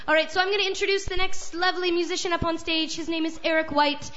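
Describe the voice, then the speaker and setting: A woman with a high, bright voice runs an open mic night, joking around between acts. She talks in a chatty, self-mocking way. The recording is dull and lo-fi. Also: level tone, fast. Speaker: open mic guest host. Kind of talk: casual joking stage banter. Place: small live venue on stage.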